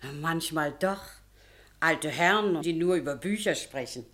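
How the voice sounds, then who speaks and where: A chatty, fussing housekeeper. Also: female voice, sits low, animated, a little slow, a bit bright. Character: devoted female housekeeper, chatty and motherly. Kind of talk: 1950s radio play acting.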